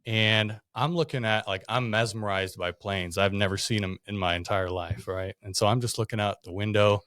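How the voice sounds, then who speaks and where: A man's voice: on the low side, fairly even intonation, moderate pace, a bit bright, dry recording, studio quality. A young man from Russia, a podcast guest, telling his story in a chatty way with lots of "like" and "you know.". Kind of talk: casual storytelling interview.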